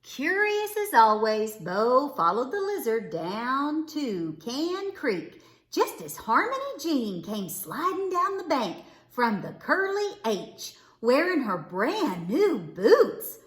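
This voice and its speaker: A woman narrates a children's picture book in a high, very animated voice. Her phrasing is slow and theatrical, and the room adds a clear echo. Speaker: storybook reader. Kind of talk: expressive children's read-aloud narration. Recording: roomy, echoing indoor sound.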